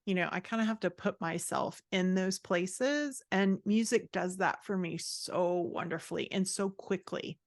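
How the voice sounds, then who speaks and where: A woman's voice: fairly low pitch, a little slow, hardly any pauses, no room sound. Chatty, upbeat woman, dry close sound. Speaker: podcast guest, fantasy author. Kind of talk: casual interview chat.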